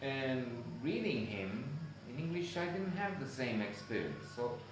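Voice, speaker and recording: Slow man, echoey and distant. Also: mid-range voice, big pitch swings. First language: Romanian. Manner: unhurried, hesitant with many fillers, admiring. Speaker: vlogger discussing poetry. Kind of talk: casual musing monologue. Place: public spot with people and a dog nearby. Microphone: phone on a tripod. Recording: very echoey, distant phone mic with noise.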